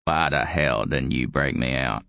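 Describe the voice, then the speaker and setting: A man voicing a bitter, vengeful old villain in an audiobook, with a dry, croaky growl. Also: rumbling low, a little slow, bright, forceful tone, no room sound, voice pushed down, heavily acted. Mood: irritated growl. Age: older. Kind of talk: audiobook character acting.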